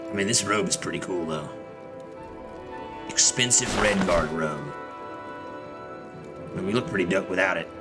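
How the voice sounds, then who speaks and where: A man's voice: deep voice, normal speed, pauses often, over loud music. A man giving casual, sweary, irreverent commentary while streaming a video game. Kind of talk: casual live gaming commentary.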